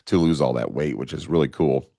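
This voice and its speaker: Podcast co-host, male, extremely deep voice, dry close sound, rambly storyteller. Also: brisk, vocal fry, warm tone, no room sound. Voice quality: low voice. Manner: rambling storyteller, lots of fillers. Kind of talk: casual chat.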